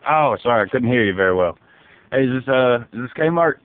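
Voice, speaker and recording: A man playing a folksy, easygoing, bewildered dad, rambling deadpan on a prank phone call. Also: medium pitch, fairly quick, no echo, lightly acted. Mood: polite, matter-of-fact. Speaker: prank caller. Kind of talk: casual phone prank, improvised. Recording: muffled narrow phone line.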